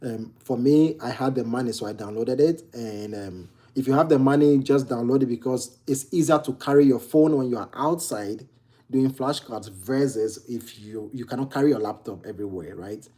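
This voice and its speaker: A man's voice: mid-range voice, moderate pace, warm tone, breathy edge. A young man from Ghana with a Ghanaian accent, casually answering viewer questions about exam study, with lots of fillers and restarts. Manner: chatty, many fillers and restarts. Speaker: medical exam tutor. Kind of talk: casual Q&A walkthrough. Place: home near a kitchen.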